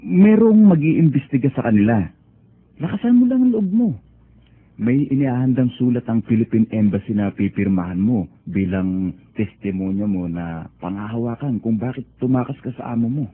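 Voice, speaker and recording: A man's voice: mid-range voice, lively intonation, normal speed, close to an everyday voice. A man playing a reassuring Filipino friend who patiently explains things in a radio drama. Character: reassuring Filipino male friend giving practical advice. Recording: thin phone-like radio sound.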